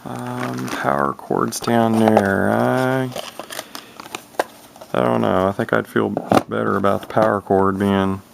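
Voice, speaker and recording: Low-voiced, gravelly man rambling casually. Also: flat delivery, slow, noisy background. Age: 30s. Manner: rambling, folksy, thinking aloud. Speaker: vintage CB radio collector showing an unboxing. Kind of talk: casual unscripted product commentary. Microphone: handheld camera's built-in microphone.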